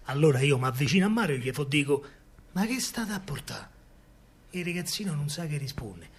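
An actor playing a coaxing, protective working-class man of wartime Rome, narrating colloquially in a husky voice with a Roman accent, as in a radio drama. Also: fairly high pitch, brisk, heavily acted. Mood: coaxing, sly. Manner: colloquial, animated storytelling. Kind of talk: dramatic first-person radio monologue in dialect.

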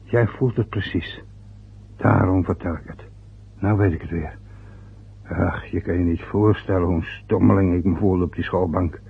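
An actor playing a fretful, rambling father turning sixty in an old radio play, with a husky voice. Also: low-pitched, fairly even intonation, moderate pace, exaggerated voice. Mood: musing. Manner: rambling, loses his thread. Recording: phone-like lo-fi old radio recording.

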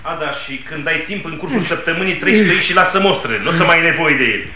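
A younger company boss in a vintage radio play, politely cold and businesslike. His voice is fairly high and very animated, with a bright edge, as he briskly brushes people off. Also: slight background noise. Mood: brisk, condescending. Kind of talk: 1960 Romanian radio theatre acting. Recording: vintage 1960 radio studio recording.

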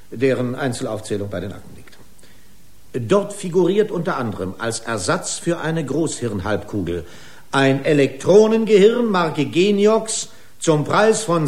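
Pompous, animated higher-voiced company lawyer. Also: male voice. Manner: formal, pompous, legalistic. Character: pedantic, accusing male corporate lawyer. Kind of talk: German radio drama acting.

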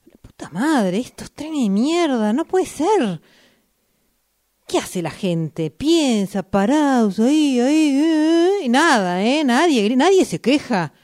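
A woman's voice: sits high, big pitch swings, slow pace, darkish tone, exaggerated voice. A woman with a Rioplatense Argentine accent acting an impatient, exasperated commuter in a radio drama monologue, exclaiming and repeating herself. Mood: indignant, fed up. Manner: exclamatory, indignant, swearing, repeating phrases. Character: exasperated impatient woman waiting for a late train.

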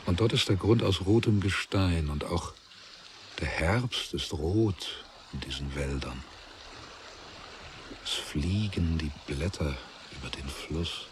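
A man narrating a literary radio drama in a grave, measured way, with deliberate pauses. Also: low voice, noisy background. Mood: grave, reflective.

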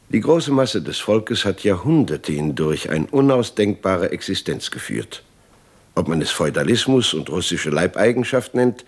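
An actor playing a confident, uncompromising revolutionary intellectual in a German radio drama, speaking in an emphatic, oratorical way. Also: male voice, on the low side, slightly rough, full character voice.

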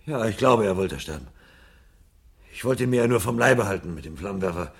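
An actor playing a desperate, guilt-ridden man on the run in a radio drama, speaking in an agitated, halting mutter. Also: on the low side, expressive, vocal fry, breathy edge, full character voice. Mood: subdued, confessing. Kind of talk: 1970 German radio drama acting. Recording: noisy radio drama, vehicle sound behind.

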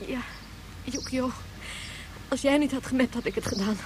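A woman's voice: sits high, moderate pace, background noise, close to an everyday voice. An actress playing a weary, devoted woman of great age in a science fiction radio play, speaking in a knowing, consoling manner. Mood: reproving. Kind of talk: Dutch science fiction radio drama acting.

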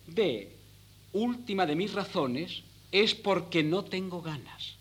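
An actor playing a bookish, disdainful young son in a Spanish radio comedy, with a Castilian accent and pedantic, clipped phrasing. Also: male voice, high-pitched, animated, takes it slowly, fairly bright tone, husky, exaggerated voice. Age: young adult.

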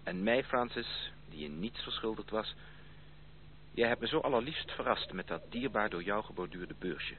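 An actor playing a courteous, ardent gentleman suitor in a Dutch radio drama, with a formal, gallant way of speaking. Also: fairly low pitch, moderate pace, lightly acted. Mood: ardent, tender. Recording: tinny, noisy old radio play recording.

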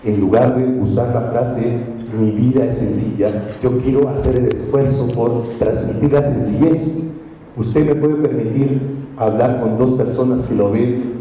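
A man with a low, mellow voice gives a reflective conference talk, stopping often to check in with his listeners. He is recorded from a distance in a large, reverberant hall. A faint room noise sits underneath. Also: steady tone, measured pace. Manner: reflective, storytelling, frequent tag questions. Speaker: journalist and speaker. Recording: echoey hall from far off.

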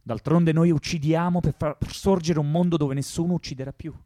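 An actor playing an idealistic, passionate young revolutionary in an earnest, impulsive way for a radio drama. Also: male voice, on the high side, steady tone, a little fast, mellow, no room sound, studio quality, close to an everyday voice. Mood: resolute. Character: idealistic, passionate young male revolutionary who loves life. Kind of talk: theatrical radio drama acting.

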